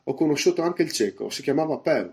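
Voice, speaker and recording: A man's voice: a little high, expressive, fast talker, a little mellow, voice pushed up. A man voicing an eager, respectful cabin boy in a storybook, speaking earnestly and urgently. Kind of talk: audiobook character voice.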